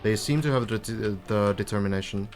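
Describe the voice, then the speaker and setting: A man's voice: fairly low pitch, a little fast, soft background music. A man from Finland, a Finnish native speaker, chatting casually on a live stream as he comments on a game and answers viewers. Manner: relaxed, chatty, answers viewers with light laughs.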